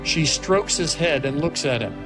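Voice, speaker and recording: Higher-pitched man narrating a film script. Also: expressive, normal speed, poor audio. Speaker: narrator reading stage directions. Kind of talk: dramatic scripted narration at a table read. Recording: music laid clearly behind the voice. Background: music and sound effects.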